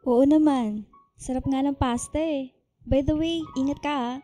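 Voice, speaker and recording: A woman's voice: high-pitched, fairly even intonation, measured pace, warm tone, faint music behind. A woman acting a coy, candid young woman in love, talking conversationally in a radio romance drama. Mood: excited, chatty.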